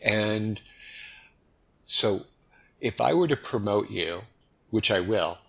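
A man's voice: on the low side, takes it slowly, creaky, breathy edge. A middle-aged American man chatting easily as a guest in a casual interview. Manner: easygoing, relaxed, chatty. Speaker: podcast guest.

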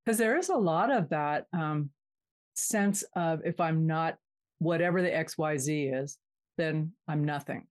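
A woman's voice: sits low, a little slow, darkish tone. A woman interviewing in a casual, empathetic way, reflecting back what she hears. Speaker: interviewer, host.

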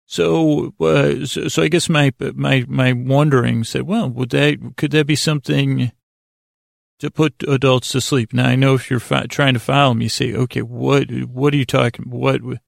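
A man hosting a sleep podcast in a rambling, informal bedtime monologue, halting and self-deprecating, with a gravelly voice. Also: mid-range voice, fairly even intonation, no room sound, clean studio sound. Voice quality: gravelly, creaky.

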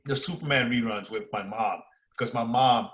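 A man, chatting casually and excitably as a podcast co-host, using slang and repeating phrases for emphasis. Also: mid-range voice, moderate pace. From Far Rockaway, New York, United States. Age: 40s. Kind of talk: casual fan chat, reviewing and reacting.

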